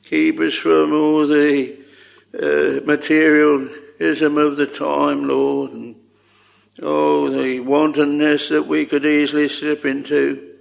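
A man's voice: on the high side, level tone, takes it slowly, gravelly. A man leading an extempore public prayer, pleading earnestly in short repeated phrases with old-fashioned thee and thou wording. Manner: short repeated phrases, trailing soft endings.

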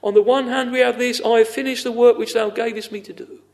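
A man's voice: very high-pitched, steady tone, fast talker. A man preaching a fervent extempore evangelical sermon, exclamatory, repeating phrases for emphasis with sighing interjections.

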